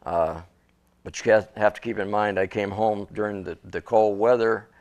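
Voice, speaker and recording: A man's voice: fairly low pitch, steady tone, normal speed, darkish tone. An older man from Western Massachusetts, an author and interview guest, speaking in a plainspoken, matter-of-fact way. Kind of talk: interview guest recounting memories.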